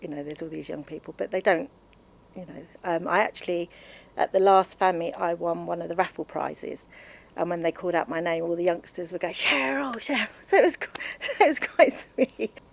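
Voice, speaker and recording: Gravelly woman in her fifties. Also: on the low side, moderate pace. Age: 50s. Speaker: interviewee. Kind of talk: casual interview answers.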